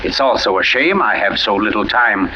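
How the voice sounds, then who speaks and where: An actor playing a suave, self-satisfied mystery writer in 1940s radio drama, telling a murder tale in an urbane, theatrical manner with savored pauses. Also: male voice, lowish voice, lively intonation, sharp tone, a little hiss or hum. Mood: urbane, sly. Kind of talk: 1940s radio drama acting, suspenseful storytelling. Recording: dull vintage radio recording with music.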